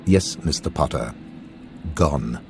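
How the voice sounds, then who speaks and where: A man's voice: a bass voice, lively intonation, takes it slowly, vocal fry, music in the background, exaggerated voice. A man playing a stern, curt wizard-school teacher with a clipped, authoritative manner in a video game. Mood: stern, dismissive. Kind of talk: video game cutscene acting.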